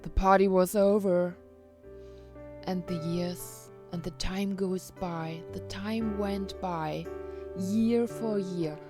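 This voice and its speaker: A woman telling a fairy tale dramatically, in simple, halting phrases with pauses for effect. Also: fairly low pitch, fairly even intonation, over music. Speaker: storyteller.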